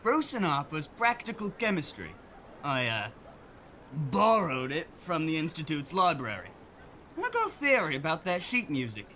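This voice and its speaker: A bookish street boy, with a highish voice that swings widely in pitch. He muses haltingly like a young inventor in an audio drama, with faint noise behind. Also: wildly swooping pitch, pushed and bright, full character voice. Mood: sheepish, thoughtful. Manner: hesitant, musing.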